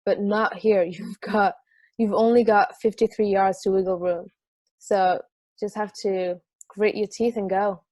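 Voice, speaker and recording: A man's voice: high voice, level tone, measured pace, a little mellow. An easygoing sports fan who relishes the weird played by a man chats in a conversational table-read style. The sound is close and dry, with no room echo. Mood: enthusiastic, wry. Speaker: amateur actor in a remote table read. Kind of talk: conversational dramatic script reading.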